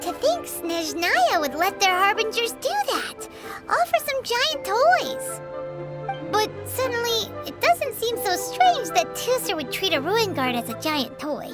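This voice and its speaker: A woman voicing a tiny childlike fairy guide in a game, chatty, excitable and easily exasperated, with a squeaky voice. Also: unhurried, exaggerated voice. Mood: curious. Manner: refers to self in third person, squeaky, excitable. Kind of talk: animated game voice acting. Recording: background music over the voice.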